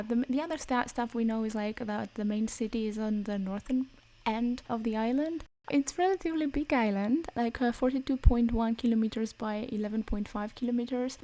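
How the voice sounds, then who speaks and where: A woman's voice: a little high, dark tone, poor audio. A young adult woman with a Greek accent, co-hosting a casual podcast chat and often restarting her sentences as she talks. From Greece. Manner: chatty, with frequent restarts. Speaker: podcast co-host.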